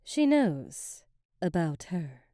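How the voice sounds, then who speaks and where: A woman acts out a silky, scheming sorceress from a fantasy ASMR roleplay, with a low, very soft and dark voice. She speaks slowly, teasing and insinuating in ornate courtly phrases. The sound is close and dry. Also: very animated, no room sound, exaggerated voice. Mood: sly, insinuating. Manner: theatrical, teasing, insinuating, ornate formal diction. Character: sly, silky, manipulative female sorceress advisor to a queen.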